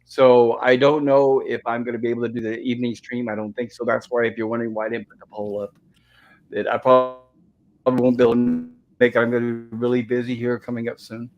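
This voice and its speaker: A man hosting a casual livestream chat, polite and welcoming, with a slightly raspy throat irritated by smoke. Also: medium pitch, little pitch movement, quick pace, lo-fi sound. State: throat irritated by smoke, holding back a cough. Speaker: livestream host.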